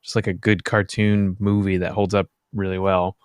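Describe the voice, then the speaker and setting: A man around his thirties chatting casually in a hesitant, halting way. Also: low-pitched, little pitch movement. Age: 30s. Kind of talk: casual chat.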